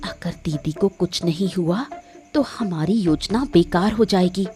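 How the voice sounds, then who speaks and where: A woman's voice: lowish voice, measured pace, mellow, faint music behind, natural voice. A woman voicing a cunning, ruthless, self-assured schemer of a wife in a Hindi cartoon story. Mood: coldly scheming. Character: scheming, cunning, ruthless wife plotting against her in-laws.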